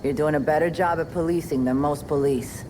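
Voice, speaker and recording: A woman's voice: deep voice, steady tone, brisk, background noise, lightly acted. A woman playing a sardonic, world-weary police detective in a video game cutscene, with dry, sarcastic delivery. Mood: frank, weary.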